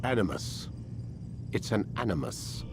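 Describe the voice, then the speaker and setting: An actor playing a cold, ruthless older scientist in a video game, speaking in a measured, formal, lecturing way. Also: male voice, on the low side, big pitch swings, music in the background. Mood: cold, menacing. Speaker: scientist in charge.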